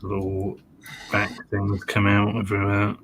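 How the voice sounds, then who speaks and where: Male panellist, fairly low voice, casual chat, some background noise. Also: monotone, a little slow, fairly bright tone. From North East England.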